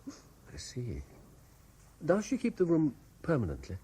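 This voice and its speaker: A man playing an earnest, troubled lawyer in a radio play, speaking in a measured, careful way. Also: on the high side, huge pitch swings, warm tone, husky, full character voice. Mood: polite, probing. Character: earnest, troubled male lawyer narrating his surveillance case. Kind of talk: radio play acting with diary-like narration. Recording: 1970s radio drama recording.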